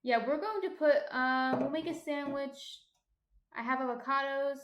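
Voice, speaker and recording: A woman's voice: sits high, normal speed, a bit bright, echoey room, slight background noise. Chatty woman with a slight Jersey accent. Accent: New Jersey. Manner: chatty, thinks aloud while cooking. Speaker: baking livestream host. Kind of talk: casual chat reading viewer comments.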